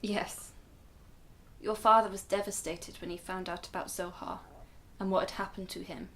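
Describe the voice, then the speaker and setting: Woman as radio drama grandmother, earnest, protective, measured, explanatory, mid-pitched. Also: steady tone, fairly bright tone, close to an everyday voice. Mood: grave, resolute.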